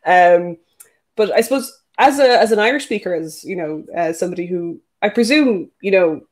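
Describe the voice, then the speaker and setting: A host asking questions in a casual interview, pausing often with "uh" and "you know". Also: medium pitch. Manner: hesitant, many "uh" and "you know" fillers.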